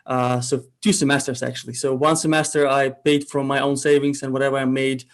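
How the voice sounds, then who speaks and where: Guest: young man, mid-pitched, unhurried, thoughtful pauses. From Ukraine. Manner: relaxed, thoughtful, frequent "uh" pauses. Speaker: guest entrepreneur. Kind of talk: casual interview storytelling.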